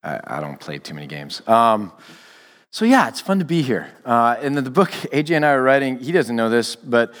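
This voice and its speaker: A man, a guest pastor with a naturally loud voice, opening his sermon in a relaxed, joking way. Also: mid-range voice, normal speed. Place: church sanctuary. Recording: long church reverb.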